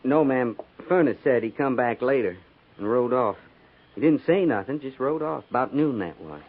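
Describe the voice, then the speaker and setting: Folksy old-West sergeant, on tinny radio. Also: male voice, mid-range voice, lively intonation, normal speed. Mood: polite, reassuring. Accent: American, rustic Western. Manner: folksy, rustic, deferential. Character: folksy, loyal, plain-spoken army sergeant of the Old West. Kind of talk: 1950s radio drama acting. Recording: tinny, hissy 1950s radio recording.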